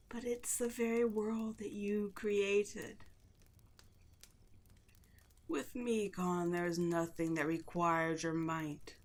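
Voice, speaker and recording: A woman playing a seductive, condescending demon queen in a scripted ASMR roleplay, gloating at the listener and dropping at times into breathy whispers. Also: a little high. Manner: slow, taunting, condescending, drops into breathy whispers.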